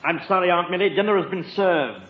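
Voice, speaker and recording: Actor as a stuffy, high-voiced butler. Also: male voice, quick pace, a loud crowd, close to an everyday voice. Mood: politely deadpan. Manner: formal, deferential, deadpan. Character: stuffy, unflappable, very proper male butler. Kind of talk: 1950s radio sitcom acting. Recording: noisy, dull, roomy radio recording, audience.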